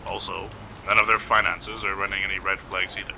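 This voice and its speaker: Deep, gravelly male police investigator acted by a man. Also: flat delivery, brisk. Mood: glum. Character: gruff, put-upon male police investigator. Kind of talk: amateur radio play acting. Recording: noisy amateur home recording.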